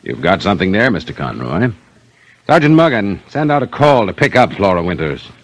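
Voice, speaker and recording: An American actor playing a dogged police inspector in a 1950s radio detective drama, clipped, probing and dryly skeptical. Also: male voice, on the low side, sing-song, brisk. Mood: brisk, commanding.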